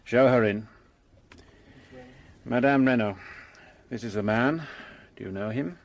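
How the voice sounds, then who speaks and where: A man's voice: mid-range voice, unhurried, natural voice. Radio drama: male actor as commanding French police investigator, mid-range voice, curt, superior, sure of himself. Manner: curt, authoritative. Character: brisk, self-assured French police detective, commanding and condescending.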